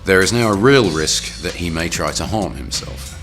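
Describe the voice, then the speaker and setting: A man narrating a training film from a script in a formal, measured, authoritative way. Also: low-pitched, expressive, fairly bright tone, faint music behind.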